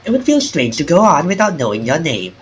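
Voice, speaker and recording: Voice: mid-range voice, huge pitch swings, a little fast, faint music behind. A streamer reading a mysterious, courteous narrator of ghostly tales aloud, in a formal, theatrical manner with small stumbles. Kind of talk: reading visual novel dialogue aloud.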